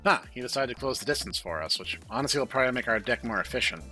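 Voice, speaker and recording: A man's voice: medium pitch, animated, racing, sharp tone. A man hosting a game playthrough, thinking aloud as he comments on what he plays. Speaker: gaming video host. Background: game music.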